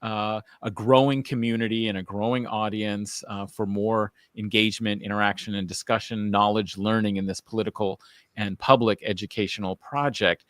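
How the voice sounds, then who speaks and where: A man's voice: on the low side, fairly even intonation, normal speed, fairly bright tone, no room sound, studio quality. A man hosting a live-streamed talk show, enthusiastic and a little rambling, with frequent fillers. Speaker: host.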